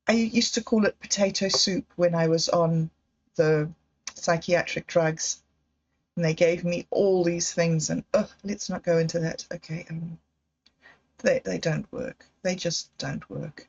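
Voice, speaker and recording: A woman hosting a livestream, talking slowly and candidly in a meandering personal monologue. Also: fairly low pitch, darkish tone. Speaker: livestream host. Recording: dull lo-fi livestream audio.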